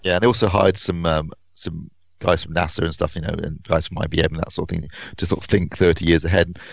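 A man with a very deep, gravelly voice co-hosts a podcast, talking fast and eagerly with frequent laughs. The sound is dry and a little dull and lo-fi. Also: little pitch movement, no room sound. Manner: enthusiastic, quick, laughs often. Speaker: podcast co-host, reviewer. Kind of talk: casual chat.

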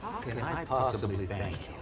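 An actor playing a sympathetic, flattering sitcom customer who speaks earnestly and deferentially. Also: male voice, mid-range voice, moderate pace, a loud crowd, lightly acted. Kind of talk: sitcom acting. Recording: noisy TV audio.

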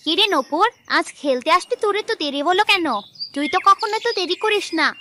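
A woman's voice: very high voice, fast talker, noisy background. A woman voicing a friendly, curious young village girl in a cartoon, childlike and coaxing. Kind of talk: cartoon voice acting.

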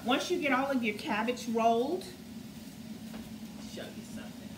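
A woman's voice: a little high, moderate pace. A middle-aged woman hosting a home cooking show, chatting casually and often checking in with viewers with "guys" and "okay?". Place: large home kitchen, indoors. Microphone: camera mic across the kitchen. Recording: roomy, distant, noisy kitchen sound.

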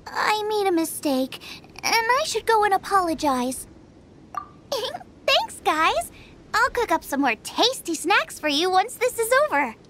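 A woman voicing a cheerful, excitable young girl cook in a video game, eager and bubbly, full of exclamations. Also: extremely high pitch, measured pace, slight background noise, exaggerated voice. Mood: earnest.

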